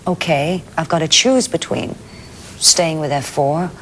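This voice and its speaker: A woman's voice: low-pitched, a little slow, fairly bright tone, rough recording. A woman hosting a television interview, asking questions in a polite, inquisitive and conversational way. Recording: clear background ambient noise.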